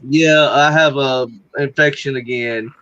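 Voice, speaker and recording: A man's voice: mid-range voice, steady tone, measured pace, fairly bright tone, smooth, no room sound, dull audio. A man in his forties chatting casually and candidly on a livestream, laughing often. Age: 40s. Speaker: livestream participant. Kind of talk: casual chat.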